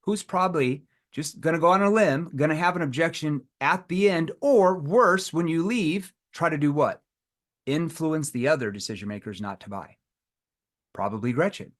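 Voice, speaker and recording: A middle-aged man leading a live sales training seminar, acting out prospect dialogue with pauses and rising tones. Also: on the high side, lively intonation.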